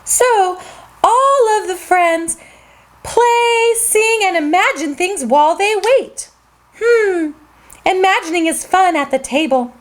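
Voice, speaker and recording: A woman reading a children's story aloud in an animated, sing-song way as the host. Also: very high-pitched, takes it slowly.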